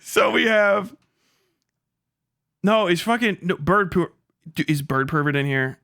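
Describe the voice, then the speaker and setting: A man's voice: high-pitched, animated, measured pace, gravelly, bright, forceful tone, no room sound, clean studio sound. A man giving casual livestream commentary as the host, rambling and stammering with plenty of swearing and an amused manner. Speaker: live streamer, host of the channel.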